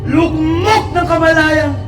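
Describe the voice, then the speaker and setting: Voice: steady tone, takes it slowly. An actor playing a stern stage orator who condemns the doomed youth in theatrical declamation, recorded from afar outdoors with music behind. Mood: stern, accusing. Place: outdoor stage in a park, far from mic. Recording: distant echoey outdoor stage, music, vehicle rumble.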